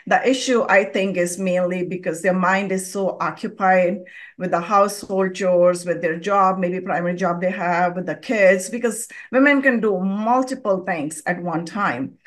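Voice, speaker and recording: A woman's voice: lowish voice, normal speed. A woman hosting an interview podcast, asking her guest long, winding questions. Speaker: podcast host.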